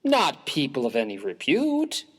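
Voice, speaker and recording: A man's voice: mid-range voice, wildly swooping pitch, a little slow, fairly bright tone, breathy edge, heavily acted. A man playing a prim, earnest, mild-mannered clergyman in a dramatised story reading, speaking in a formal, precise, wordy way. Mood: puzzled, proper.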